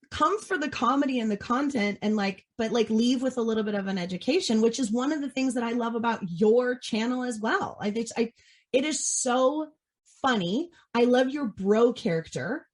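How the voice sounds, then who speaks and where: Woman host for casual interview chat, enthusiastic, higher-pitched. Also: normal speed. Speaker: podcast host.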